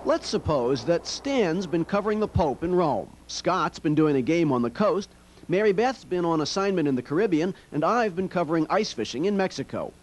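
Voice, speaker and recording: Higher-pitched American male news reporter. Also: very animated, moderate pace. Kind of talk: scripted news feature narration.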